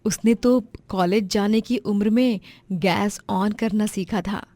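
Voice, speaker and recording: A woman voicing a gentle, apologetic elder sister and new bride, explaining hesitantly in a family story. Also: medium pitch, slow. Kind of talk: dramatized Hindi family story.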